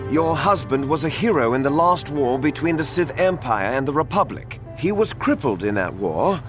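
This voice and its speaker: Theatrical man acting as accusing prosecutor, swooping pitch. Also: lively intonation, normal speed, fairly bright tone, soft background music, natural voice. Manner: theatrical, insinuating, formal. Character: accusing male prosecutor in a sci-fi courtroom. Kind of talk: video game voice acting, courtroom cross-examination.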